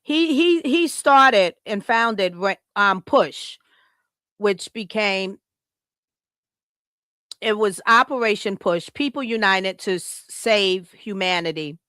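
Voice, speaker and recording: A woman from Chicago co-hosts a live podcast in a smooth, clear voice, recorded close and dry with no room sound. She talks in a casual, heartfelt way and moves easily into reading her own written words aloud. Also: medium pitch, a bit bright, dry recording. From Chicago, United States. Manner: heartfelt, reads a written tribute aloud, laughs often. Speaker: podcast co-host.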